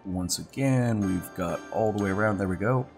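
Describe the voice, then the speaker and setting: A man with a very low voice and a stuffy, congested sound talks through his gameplay in a relaxed, thinking-aloud way, often repeating single words as he clicks. Clear background music plays under his voice the whole time. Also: moderate pace. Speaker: let's play host. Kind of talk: casual gameplay commentary.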